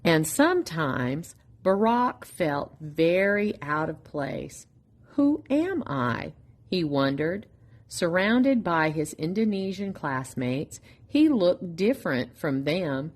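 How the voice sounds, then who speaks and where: A woman reading a children's picture book aloud as a storytime reader, in an expressive, deliberate manner with pauses. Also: lowish voice.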